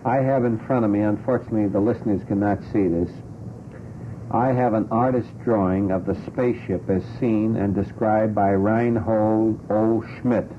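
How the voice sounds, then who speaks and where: A man's voice: fairly low pitch, steady tone, normal speed. Guy hosting old radio panel show, rambly then pointed questions, phone-like thin sound, bit of noise. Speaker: radio talk show host. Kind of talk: panel talk show discussion. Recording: tinny, noisy old radio broadcast.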